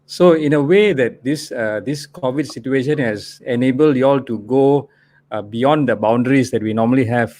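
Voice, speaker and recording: A man hosts a live online business talk, nudging guests along with an encouraging manner. His voice sits at an everyday mid pitch and he leans on "you know" as filler. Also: moderate pace, darkish tone. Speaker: host. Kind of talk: live webinar hosting.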